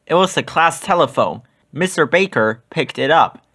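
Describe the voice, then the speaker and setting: A man's voice: fairly high pitch, lively intonation, moderate pace, bright, forceful tone. A man narrating a children's storybook as a read-aloud.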